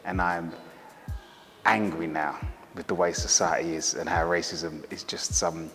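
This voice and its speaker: A man's voice: sits low, fairly even intonation, a little slow, a bit bright, long reverb tail, faint music behind. A man speaking conversationally and bluntly in an interview. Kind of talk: interview testimony.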